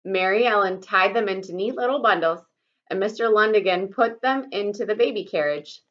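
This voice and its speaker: A woman's voice: medium pitch, normal speed. A woman reading a children's story aloud expressively, like a teacher, in slightly dull audio.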